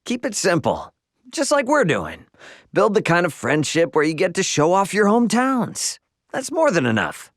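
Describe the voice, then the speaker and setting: A man's voice: high-pitched, huge pitch swings, moderate pace, no room sound, studio quality. An actor playing an easygoing, cocky young male starship pilot and swordsman in a video game, with breezy, slangy, informal delivery. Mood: casual, thoughtful.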